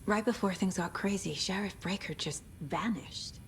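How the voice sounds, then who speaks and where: An actress playing a focused, determined investigator in a video game, speaking in a serious, analytical way. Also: female voice, mid-range voice, moderate pace, slight background noise, lightly acted. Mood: serious, resolute. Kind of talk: naturalistic video game acting.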